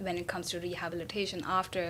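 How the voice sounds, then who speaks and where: A woman's voice: lowish voice, little pitch movement, rapid-fire, sharp tone, slight background noise. A woman speech-language pathologist describing her work as a podcast guest, with frequent ums. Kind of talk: explanatory podcast talk.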